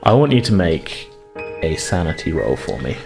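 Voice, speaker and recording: A man's voice: rumbling low, big pitch swings, normal speed, gravelly, over music. A man narrating a tabletop horror game as game master, phrasing things hesitantly as he improvises.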